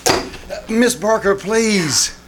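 A man's voice: high voice, slow. A man playing a timid, flustered studio publicity chief in old-time radio comedy style, hesitant and placating. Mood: flustered, nervous. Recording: noisy live theater stage recording.

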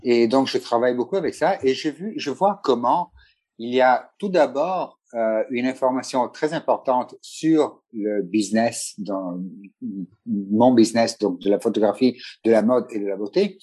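A middle-aged man speaks French, at a middle pitch. He talks loosely and with many pauses and restarts. He sounds like a guest photographer in a relaxed interview. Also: slightly rough. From Italy. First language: Italian. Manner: hesitant, many restarts and fillers. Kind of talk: casual conversational interview.

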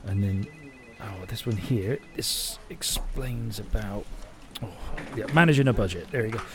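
A man's voice: fairly low pitch, unhurried, crowd noise, lightly acted. A man playing a well-meaning, fussy housing officer in an audio drama, rambling hesitantly through official procedure. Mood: officious, distracted. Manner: hesitant, muddled, full of official terms. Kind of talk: naturalistic audio drama acting.

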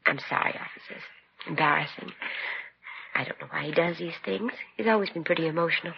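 A woman's voice: sits low, brisk, creaky, husky, heavily acted. Smothering mother in muffled old radio drama. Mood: brisk, controlling. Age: middle-aged. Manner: fussing, imperious, cooing over her son. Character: smothering, domineering middle-aged mother of a grown son. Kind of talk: 1950s radio drama acting. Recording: muffled, noisy 1950s radio broadcast with clicks.

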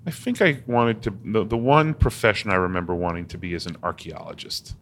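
A man from Massachusetts with a very deep, low voice answers interview questions in a loose, rambling way. He restarts his sentences often, and a faint hum of room ambience sits behind him. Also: a little fast, fairly bright tone. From Massachusetts, USA. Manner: rambling, many restarts and fillers. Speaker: interview guest. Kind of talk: casual conversation.

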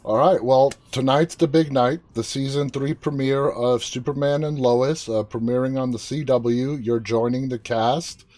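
A man's voice: medium pitch, steady tone, unhurried, few pauses, darkish tone. A man hosting a live interview, asking questions in a casual, conversational style. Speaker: interview host.

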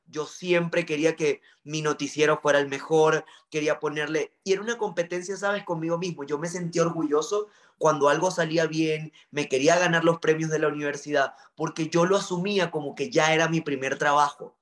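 A man in his thirties with a Venezuelan accent from Maracaibo talks about his past as an interview guest. His voice sits a little high for a man. He speaks earnestly and reflectively, pausing on fillers as he goes. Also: steady tone, fairly bright tone. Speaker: journalist guest. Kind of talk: interview storytelling.

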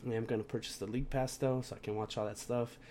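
A man's voice: mid-range voice, little pitch movement, quick pace, warm tone, a little hiss or hum. A young man hosting a casual sports podcast, sounding plugged up from a cold and rambling with lots of fillers and restarts. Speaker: podcast host.

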